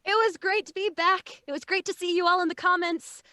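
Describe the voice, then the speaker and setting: A woman's voice: very high voice, flat delivery, fairly quick, pushed and bright, no echo. A woman chatting casually as a guest on a livestream, close on a studio mic. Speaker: voice actor guest. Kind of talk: casual chat. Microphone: close studio mic on a video call.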